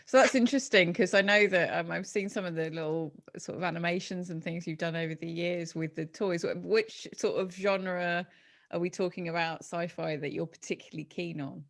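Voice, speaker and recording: Female host, gravelly creak in a lower register, casual and encouraging in interview. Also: fairly even intonation, normal speed. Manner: encouraging, loosely phrased questions.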